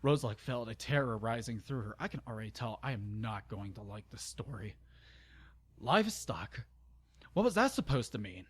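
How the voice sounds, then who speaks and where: A man's voice: mid-range voice, lively intonation, normal speed, slightly rough. A man narrating an amateur dramatic story reading, informal and stumbling, with exasperated, sarcastic asides. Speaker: narrator and channel host.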